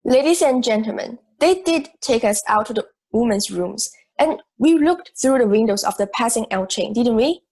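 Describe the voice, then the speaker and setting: A woman's voice: a little high, lively intonation, normal speed, few pauses, darkish tone, slightly rough. A woman playing a logical, assertive, matter-of-fact juror in an amateur audio drama.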